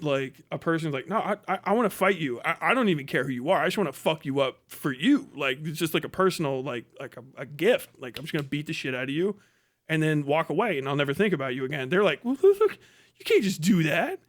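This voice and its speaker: A man's voice: on the high side, lively intonation, quick pace. A man ranting and telling stories casually on a live stream, blunt and swearing freely. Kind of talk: casual, profane ranting and storytelling.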